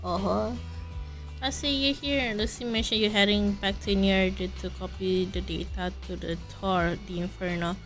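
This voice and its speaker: A woman game streamer reading dialogue aloud during a playthrough, halting and correcting herself as she goes. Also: medium pitch, fairly even intonation, fairly bright tone, faint music behind.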